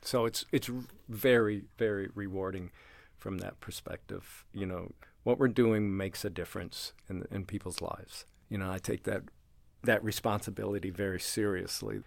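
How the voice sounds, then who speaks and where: An older man speaks in a low, gravelly voice. He tells anecdotes with energy, playing out both sides of a conversation. The sound is close and dry. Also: moderate pace, no room sound. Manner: animated storyteller, acts out dialogue, laughs often. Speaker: language center director, interviewee. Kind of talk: casual interview with anecdotes.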